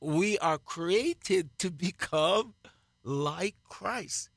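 A man with a Jamaican accent, preaching on a radio broadcast in a slow, emphatic way and repeating key phrases. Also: high voice, lively intonation, creaky, a bit bright, no echo, clean studio sound. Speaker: pastor. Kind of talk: radio sermon, co-hosted Bible teaching.

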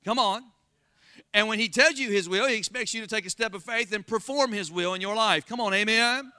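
A pastor with a Southern Florida accent preaching a sermon, folksy and calling out to the congregation for responses. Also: male voice, high voice, fairly quick, pushed and bright, clear, resonant tone, no room sound, studio quality. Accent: Floridian Southern American. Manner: call-and-response, folksy, rising to emphatic exclamations.

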